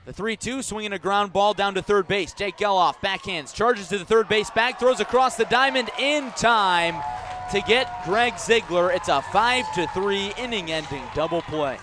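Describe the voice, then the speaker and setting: An American man doing live radio play-by-play of a baseball game, measured between pitches and emphatic on the action. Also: crowd noise, background noise. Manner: measured description rising into emphatic calls on the action. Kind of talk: live radio sports commentary. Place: outdoors at a ballpark.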